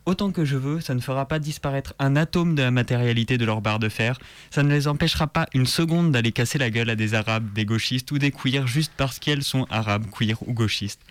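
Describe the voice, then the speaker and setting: A man's voice: mid-range voice, a little fast, dry recording. A man delivering a written first-person militant monologue in colloquial, slangy words, like a radio columnist.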